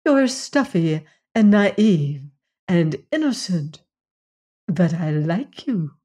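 A woman reading aloud and voicing a calm, gently teasing alien woman from another planet. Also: lowish voice, takes it slowly, breathy edge, exaggerated voice.

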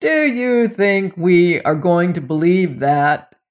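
A woman plays a stern jailer in a fairy tale, mocking and threatening. The voice is raised as if calling out, with scornful, measured words. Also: lowish voice, lively intonation.

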